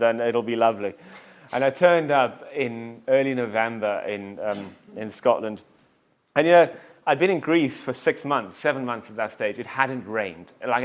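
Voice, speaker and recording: A man, a tour company founder telling his story in a relaxed, self-deprecating way, full of fillers and asides. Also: medium pitch. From South Africa. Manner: self-deprecating, jokey, rambling with many fillers. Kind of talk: casual interview storytelling.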